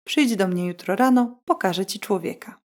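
A woman's voice: medium pitch, expressive, normal speed, lightly acted. A woman voicing a sly, knowing male fox who advises in a confident, lecturing manner in a bedtime fairy tale. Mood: sly, assured.

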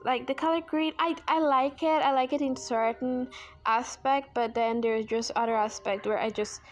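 A woman's voice: high-pitched, steady tone, moderate pace, faint music behind. A young woman from Guyana with a Guyanese accent, chatting casually and self-deprecatingly about art supplies while she draws at a desk. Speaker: art channel host. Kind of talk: casual chat while drawing. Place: indoors at a desk. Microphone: overhead camera on hands.

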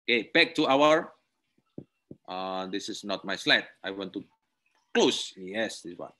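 A man's voice: on the low side, animated, sharp tone. A man with an Indonesian accent, a lecturer giving a webinar tutorial in simple English and saying "okay" often. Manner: slow, repetitive, punctuates with "okay"; simple non-native English. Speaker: university lecturer. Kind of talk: webinar tutorial, screen-share demonstration.

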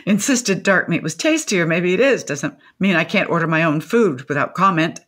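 An actress playing an opinionated, garrulous older widow in a comedic radio play, with a wordy, theatrical, dryly sardonic delivery. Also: female voice, lowish voice, expressive, normal speed, fairly bright tone. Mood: irritated, sardonic. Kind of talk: comedic radio drama acting.

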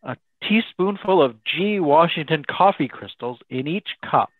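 A man's voice: a little high, expressive, dry recording. A man playing a courteous, formal radio announcer in a 1930s-style radio drama, over a video call. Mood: polite. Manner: formal, measured announcer delivery. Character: courteous male radio announcer, Mr. Bell, visiting Watson. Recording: thin phone-like video call sound.

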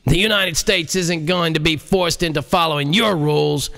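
Guy doing a huffy stubborn president for an audiobook, voice pushed up high, defensive. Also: moderate pace, gravelly, sharp tone. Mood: indignant. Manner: blustering, defensive. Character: indignant, stubborn male US president under pressure.

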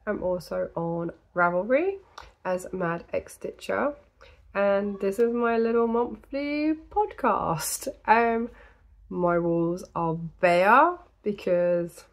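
A woman in her thirties from the UK, hosting a crafting podcast in a small indoor room. She chats to the camera in a rambling way, full of ums and little laughs, and her voice is going, slightly gravelly. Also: mid-range voice. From United Kingdom. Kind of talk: casual chat to camera.

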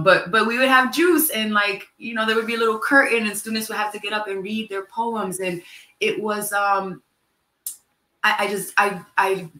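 A woman's voice: lowish voice, pushed and bright, echoey room. A woman in her thirties, an author, talking earnestly and thoughtfully on a video call from home, often restarting as she thinks. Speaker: author guest. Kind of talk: casual conversation on a video call. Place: room at home. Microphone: laptop webcam mic.

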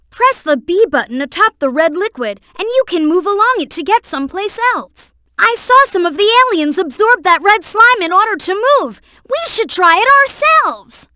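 Game role: young boy fox sidekick, very high, lively, eager and clever, careful explainer, dry studio sound. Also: no room sound, exaggerated voice. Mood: excited, urgent. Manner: earnest, instructive, explains carefully. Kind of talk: video game voice acting.

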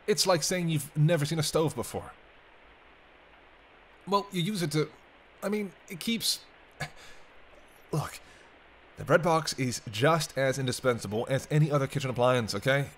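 A man's voice: on the high side, expressive, moderate pace, fairly bright tone, slight background noise. A man playing a teasing, century-old vampire in an intimate roleplay audio drama, bantering wryly with hesitant asides. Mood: playful banter, then mildly exasperated. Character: teasing century-old male vampire, sardonic but soft-hearted.

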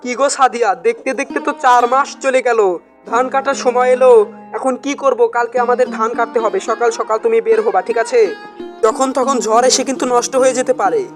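A man's voice: very high voice, fairly even intonation, fairly quick. A hardworking village husband from a cartoon folk tale played by a man, speaking. He is hopeful, earnest and reassuring, with music playing behind him. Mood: urging. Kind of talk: amateur cartoon dialogue.

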